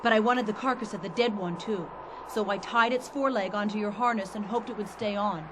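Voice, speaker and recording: A woman's voice: mid-range voice, a little fast, noisy background, lightly acted. A woman playing a plucky, anxious adventurer in a science fiction radio drama, speaking in an earnest, candid way. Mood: tense, recounting. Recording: loud ambient sound effects behind.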